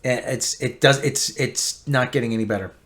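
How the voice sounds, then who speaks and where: A man giving an opinionated, emphatic TV review rant, repeating himself and swinging between loud outbursts and low grumbling. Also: normal speed. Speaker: online pop-culture commentator.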